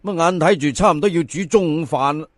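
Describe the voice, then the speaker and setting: A man's voice: fairly high pitch, quick pace, dry recording. A man narrating a folk tale in colloquial Cantonese as a storyteller, theatrical, addressing listeners and stretching words for emphasis.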